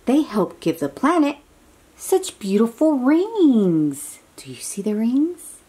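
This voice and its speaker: A woman reads a picture book aloud to young children at a very unhurried pace. Her voice swings expressively up and down as she exclaims and coaxes little listeners with simple questions. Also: a little high, very animated, dark tone, husky.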